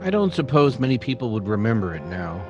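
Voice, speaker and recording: A man playing a reflective general-store keeper who narrates his memories in an old-time western radio drama, in halting phrases. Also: medium pitch, sing-song, lo-fi sound, loud music behind the voice, lightly acted.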